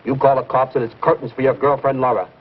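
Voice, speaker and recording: Slangy hoodlum blackmailer , male actor, clipped threats, average pitch, vintage comedy drama, lo-fi sound with faint noise. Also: flat delivery, fast, husky, lightly acted. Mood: threatening. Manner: curt, menacing. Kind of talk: 1950s comedy drama acting. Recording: dull, faintly noisy 1950s broadcast recording.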